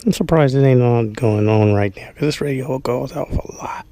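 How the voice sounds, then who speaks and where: A man's voice: mid-range voice, moderate pace, mellow. A man giving a casual hands-on product demo indoors, talking informally and folksily, as if half to himself while handling things. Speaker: vlogger showing an unboxing. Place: small carpeted room indoors.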